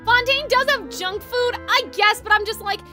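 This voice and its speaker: A woman livestreaming a game and chatting casually, with animated exclamations, swearing and lots of filler "like". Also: very high voice, moderate pace. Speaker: game streamer. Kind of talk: casual livestream reaction chat. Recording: game music behind the voice. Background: video game music and dialogue.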